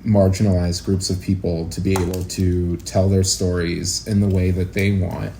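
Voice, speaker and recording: A man talking as a guest in a casual podcast interview, with an informal, reflective manner and halting phrasing. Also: deep voice, little pitch movement, vocal fry, warm tone, slight background noise. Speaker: interview guest, actor-producer and educator.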